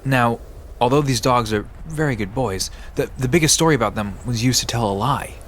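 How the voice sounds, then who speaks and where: A man plays a friendly guide in an audio drama, explaining legends like a natural storyteller. His voice sits at an ordinary pitch for a man, with light outdoor ambience behind it. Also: fairly quick, a bit bright, close to an everyday voice. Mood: friendly, informative. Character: friendly park guide fond of myths and mythical dogs. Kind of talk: conversational audio drama acting. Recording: background noise with outdoor ambience.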